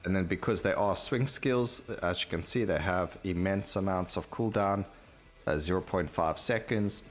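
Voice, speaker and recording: A man's voice: sits low, normal speed. A man giving casual gameplay commentary, thinking aloud and rambling as he plays, with game music behind him. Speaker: gaming video host.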